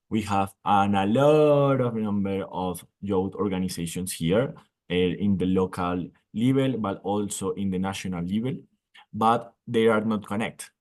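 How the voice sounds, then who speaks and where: A man's voice: on the low side, a little mellow. A man from Colombia with a Colombian Spanish accent, whose first language is Spanish, giving a slide presentation on a panel and often repeating words as he searches for English phrasing. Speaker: panel speaker.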